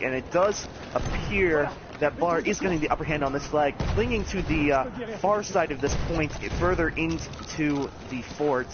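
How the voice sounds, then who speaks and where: A man giving play-by-play commentary on an online match, talking fast with the odd chuckle. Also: a little high, dull audio, noisy background. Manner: rapid, animated play-by-play with chuckles.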